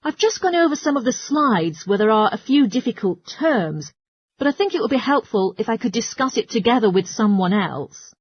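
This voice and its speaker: A woman's voice: fairly high pitch, big pitch swings, normal speed, slightly rough, no echo, poor audio. A woman playing a helpful, studious geography student in a scripted listening-test dialogue. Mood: helpful, reassuring.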